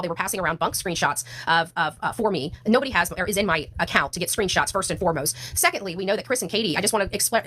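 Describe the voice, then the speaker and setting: Very fast-talking, bright-voiced American woman. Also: mid-range voice. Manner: indignant, blunt, slangy. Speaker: livestream host. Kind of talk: casual livestream rant, replying to chat.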